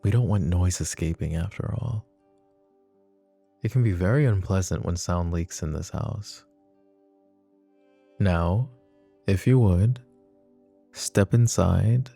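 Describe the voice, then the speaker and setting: A man voicing a possessive, menacing mafia boss in a roleplay audio drama, talking slow and taunting, with sweet pet names. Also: sits low, soft background music, exaggerated voice. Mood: courteous. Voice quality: soft, smooth and taunting. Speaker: voice actor.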